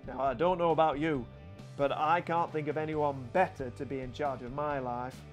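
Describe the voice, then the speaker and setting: A small, stern sceptic tells his tale as a man acts him out for a children's audiobook. He is blunt, colloquial and emphatic, pitched a little high. Faint music plays behind him. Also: steady tone, moderate pace, natural voice. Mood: awed, earnest. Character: Thomas, small stern male sceptic, stubborn storyteller. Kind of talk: children's audiobook character reading.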